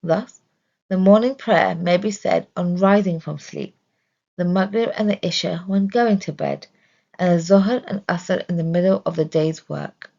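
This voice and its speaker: Female narrator for religious study audio. Deliberate scripted delivery, some vocal fry, slightly muffled sound. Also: on the low side, darkish tone. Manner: measured, deliberate reading. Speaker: reader of religious study text.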